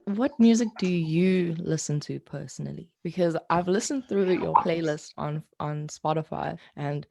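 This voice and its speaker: A woman's voice: low voice, a little slow, vocal fry, a little mellow, dry recording, studio quality. A woman hosting a podcast, asking questions in a casual conversational style. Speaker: podcast host, interviewer.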